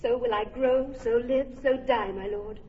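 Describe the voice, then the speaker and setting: A woman's voice: on the high side, steady tone, a little slow, dull audio, a little hiss or hum, lightly acted. An actress playing a defiant young noblewoman who pleads earnestly but firmly in an old radio play. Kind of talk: old radio verse drama. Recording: distant reverberant old radio recording.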